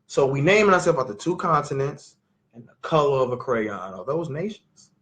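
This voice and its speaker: A man, teaching scripture in an animated, questioning way at a family sit-down. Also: mid-range voice. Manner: emphatic, questioning, drawn-out teaching. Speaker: Bible teacher. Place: living room at a family sit-down.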